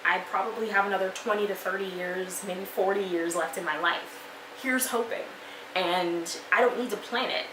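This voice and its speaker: A woman's voice: medium pitch, steady tone, normal speed. A woman in her twenties giving encouraging advice in a chatty vlog style, with plenty of fillers. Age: 20s. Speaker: vlogger and life coach. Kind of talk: motivational talk to camera. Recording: echoey room with noise.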